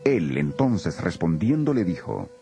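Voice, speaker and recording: A man narrating a dramatized scripture reading as an expressive storyteller. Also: sits low, a little slow, gravelly, soft background music.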